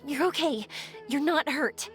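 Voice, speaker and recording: A woman playing a kind, protective young woman in a video game, with a gentle, soothing delivery. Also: high voice, measured pace, over music. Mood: reassuring.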